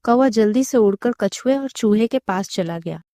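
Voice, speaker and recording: A woman's voice: mid-range voice, normal speed, dark tone, faint music behind. A woman narrating a scripted story for children as a storyteller.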